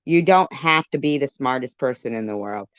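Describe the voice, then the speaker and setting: A woman's voice: extremely low pitch, animated, moderate pace, no echo, muffled recording. A woman giving blunt, repetitive, sweary off-the-cuff commentary on a live stream. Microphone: phone on a live stream.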